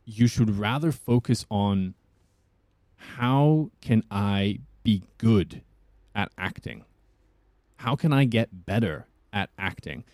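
A man from South Africa, an actor chatting casually on a podcast with a husky, soothing voice and a thoughtful manner. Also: fairly low pitch, animated, slow, a little mellow, no echo, clean studio sound.

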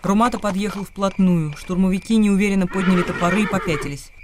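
A man's voice: high voice, fast talker, noisy background. A man delivering dramatic scripted narration as the narrator of a radio play.

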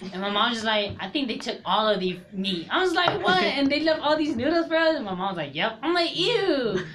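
A man's voice: very high-pitched, sing-song, brisk, bright, forceful tone. A young man co-hosting a casual podcast, telling a story in loose slang and swearing freely. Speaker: podcast co-host. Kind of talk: casual chat. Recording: noisy home recording.

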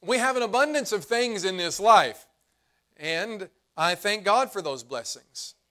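Hoarse pastor preaching earnestly. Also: male voice, high-pitched, animated, bright, forceful tone. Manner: earnest preaching, slows and drops to emphasize points. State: hoarse, losing his voice. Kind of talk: sermon.

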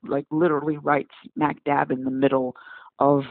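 A man in his forties, raised in Congo, speaks as a physician guest with earnest answers that circle back over his words. His voice is gravelly, and it comes through dry and tinny, like a phone call. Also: fairly high pitch, flat delivery, normal speed, no echo. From Democratic Republic of Congo. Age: 40s. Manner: earnest, repeats words while searching for phrasing. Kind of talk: podcast interview answers.

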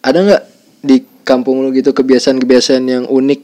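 A man's voice: mid-range voice, monotone, a little fast, mellow. A young man in casual group talk, tossing in teasing remarks.

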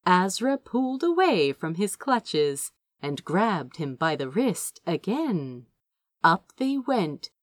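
A woman reading a story aloud as dramatic scripted narration, with expressive, drawn-out emphasis. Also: medium pitch, dry recording, studio quality. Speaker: amateur audiobook reader of fan fiction.

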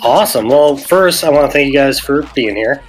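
A man hosting a podcast interview, relaxed and casual with hesitant fillers. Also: medium pitch, fairly quick. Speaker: podcast host.

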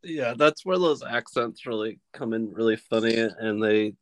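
A man's voice: mid-range voice, moderate pace, no room sound. Brief: rambling male guest, mid-range voice, dry closely miked sound. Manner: rambling, laughs while talking. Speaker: podcast guest. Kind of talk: casual movie podcast chat.

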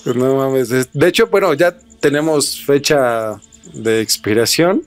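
A man's voice: medium pitch, measured pace, soft background music. A man with a Mexican accent hosting a podcast, explaining things and chatting in an informal, slangy way. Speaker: podcast host. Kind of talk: podcast talk, explaining and casual chat.

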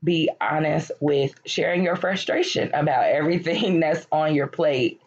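A woman in her late thirties hosting a podcast, talking candidly and encouragingly about her life, her voice hoarse and cracking as she loses it. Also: deep voice, moderate pace. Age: late 30s. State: losing her voice, slightly cracking. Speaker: podcast host. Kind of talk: personal reflective monologue. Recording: lo-fi, dull recording.

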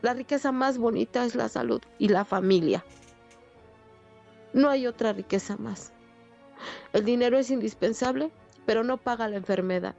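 Mexican lady in her 40s, kinda high voice, telling her life story, muffled lofi audio w faint music. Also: moderate pace, gravelly, long pauses, a little mellow. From State of Mexico, Mexico. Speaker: interview guest, online creator. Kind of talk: personal storytelling in casual conversation.

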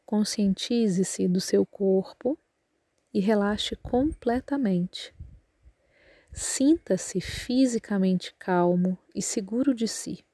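A Brazilian Portuguese-speaking woman guiding a relaxation meditation as a yoga nidra instructor, calm and measured in her instructions. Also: mid-range voice, husky, no echo.

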